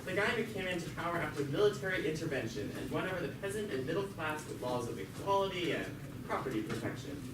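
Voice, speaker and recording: A man's voice: fairly high pitch, expressive, quick pace, few pauses, lightly acted. A man playing a talkative jailed revolutionary who narrates his story in an amateur puppet show with sardonic, stumbling asides. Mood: wry. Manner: conversational, sardonic asides, stumbling over words. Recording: echoey, very noisy, distant mic.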